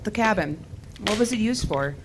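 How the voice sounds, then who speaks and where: A woman's voice: mid-range voice, animated, moderate pace, noisy background, close to an everyday voice. An actress playing a curious, teasing woman working as a field technician in a naturalistic horror audio drama. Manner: playful, inquisitive, teasing.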